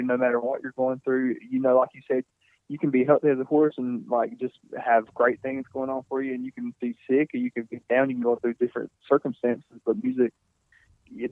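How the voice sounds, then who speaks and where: A man in his twenties, a singer being interviewed, talking casually and softly with many fillers and restarts. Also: medium pitch, dry recording. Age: 20s. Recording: tinny phone-like sound, dry.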